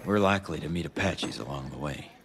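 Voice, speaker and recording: An actor playing a tough, laconic young gunslinger in a western video game, with an American accent. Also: male voice, rumbling low, lively intonation, fast, vocal fry, background noise, exaggerated voice. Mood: grim, tough. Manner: curt, laconic. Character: terse, tough young gunslinger on a personal quest. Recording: music behind the dialogue.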